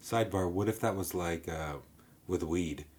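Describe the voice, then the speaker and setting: A man's voice: deep voice, normal speed, a little mellow, slightly rough. A young man co-hosting a casual podcast, riffing with crude jokes. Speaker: podcast co-host. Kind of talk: casual chat.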